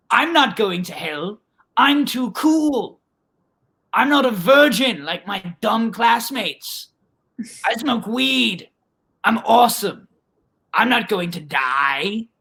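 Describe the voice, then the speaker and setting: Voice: medium pitch, creaky, pushed and bright, exaggerated voice. A performer voicing a cocky, irreverent teenage schoolgirl in an exclamatory, melodramatic manner.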